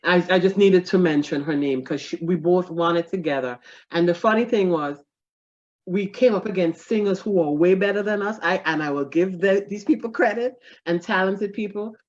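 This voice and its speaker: A middle-aged woman, a stand-up comedian telling stories in a casual interview, animated and quick to laugh. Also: lowish voice. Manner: animated, laughs often, self-deprecating. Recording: dull lo-fi recording.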